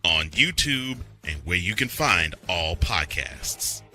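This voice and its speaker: A man's voice: deep voice, sing-song, takes it slowly. A man reading a scripted podcast promo as a voice-over announcer. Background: faint music.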